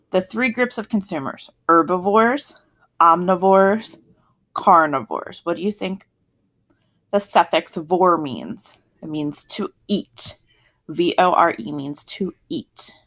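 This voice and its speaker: A woman with a South Jersey accent teaches a science lesson to her students. She talks in a friendly, chatty way, sitting a little low in pitch for a woman, and she catches and fixes her own slips as she goes. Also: a bit bright. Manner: friendly, chatty explaining with self-corrections. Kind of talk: recorded classroom lesson.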